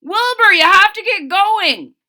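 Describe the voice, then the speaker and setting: A woman voicing worried storybook rabbits who shout insistently and urgently. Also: very high-pitched, expressive, measured pace, voice pushed up, heavily acted.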